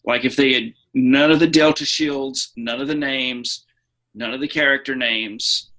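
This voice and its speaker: Male podcast co-host, bright edgy tone, emphatic and argumentative in casual discussion. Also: mid-range voice, fairly even intonation, unhurried, poor audio. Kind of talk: casual opinionated podcast chat.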